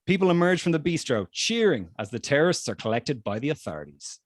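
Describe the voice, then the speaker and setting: A man's voice: fairly high pitch, very animated, fast talker, no room sound, clean studio sound. A man reading screenplay stage directions aloud as narrator in a scripted table read.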